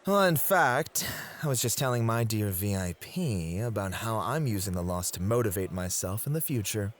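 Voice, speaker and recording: A man's voice: fairly low pitch, animated, normal speed, slight background noise, lightly acted. A man playing an affectionate, courteous club host in a romantic ASMR roleplay, sweet-talking and polite. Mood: polite, teasing. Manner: sweet-talking, polite, soft laughs and sighs. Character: affectionate, courteous male club host, gently possessive, motivated rival.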